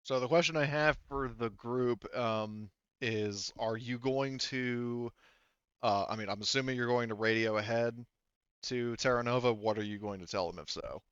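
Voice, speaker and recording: A man running a tabletop game as game master in a casual, halting way, rephrasing himself as he goes. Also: medium pitch, fairly bright tone, clean tone, no room sound, lo-fi sound.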